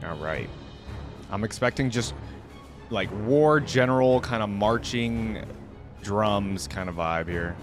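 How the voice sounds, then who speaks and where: Male reaction host, low register, casual commentary, music playing clearly behind. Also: lively intonation, slow, pauses often.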